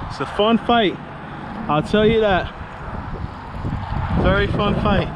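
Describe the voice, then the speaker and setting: A man giving excited, slangy running commentary in casual chat while fishing. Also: high-pitched, slow. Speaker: fishing vlogger. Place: outdoors on a bridge over the sea. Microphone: head or chest-mounted action camera. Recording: loud wind and water noise.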